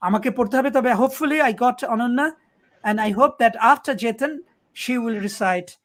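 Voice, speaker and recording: Man hosting, very high voice, repetitive and insistent. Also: rapid-fire, fairly bright tone. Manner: repetitive, insistent, code-switching. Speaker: program host. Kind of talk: hosting an online poetry event.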